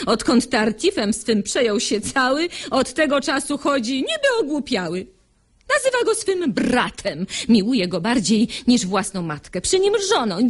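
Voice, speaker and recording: High-pitched, sardonic maidservant. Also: female voice, husky, exaggerated voice. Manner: mocking, emphatic. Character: outspoken, sardonic young maidservant. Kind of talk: classic stage comedy radio acting in verse.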